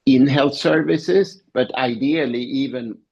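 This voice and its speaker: Male guest expert with a slightly breathy, husky voice, patient and explanatory. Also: mid-range voice, measured pace, a little mellow, lo-fi sound. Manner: explanatory, illustrates with personal examples. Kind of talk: conversational interview.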